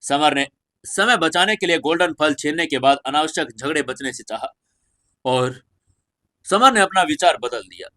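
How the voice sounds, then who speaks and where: A male storyteller hosting a serial audio drama. His voice sits a little above average in pitch, and he delivers scripted narration with drama. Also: expressive, moderate pace, bright, forceful tone.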